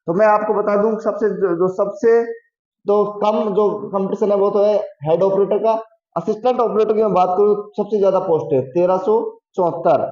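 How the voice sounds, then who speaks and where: High-pitched man teaching, echoey. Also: mellow. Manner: repeats key figures for emphasis. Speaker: exam coaching teacher. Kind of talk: explanatory lesson addressed to students. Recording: muffled, echoey room recording.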